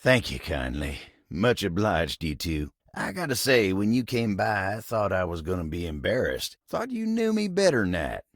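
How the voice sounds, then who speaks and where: A man voicing a folksy, dependable living table in a video game, with an American Southern accent, a gravelly voice and a courteous, plain-spoken drawl. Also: low voice, sing-song, moderate pace, few pauses, no room sound, clean studio sound. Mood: grateful, courteous, then warm, upbeat. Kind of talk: video game character acting.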